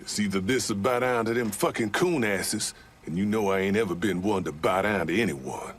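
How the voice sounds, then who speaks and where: An actor playing a proud, profane gang fighter in a game, with a salty, colloquial Southern US accent. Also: male voice, fairly low pitch, moderate pace, natural voice. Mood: proud, scornful. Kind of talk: cinematic game dialogue.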